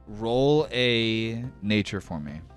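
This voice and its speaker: A man's voice: on the low side, expressive, slow. A man speaking as game master of a tabletop role-playing game, explaining rules and narrating off the cuff in a conversational way. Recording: music playing behind the voice.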